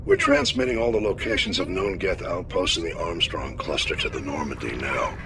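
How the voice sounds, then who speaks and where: Gravelly, commanding admiral. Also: male voice, deep voice, very animated, a little fast, noisy background, full character voice. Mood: stern, businesslike. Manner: clipped, authoritative military briefing. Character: gruff, commanding older male navy admiral. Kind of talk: video game dialogue acting.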